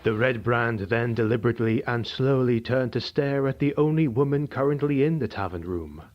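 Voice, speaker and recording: A man narrating a dark fantasy audiobook in a measured, dramatic way. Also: mid-range voice. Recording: dull lo-fi recording.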